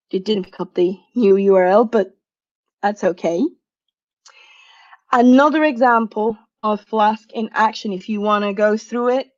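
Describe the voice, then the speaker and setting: A woman's voice: medium pitch, dry recording. Chatty woman from Venezuela, lo-fi call. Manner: laughs often, relaxed and chatty. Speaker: conference speaker. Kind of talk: tech talk on a video call.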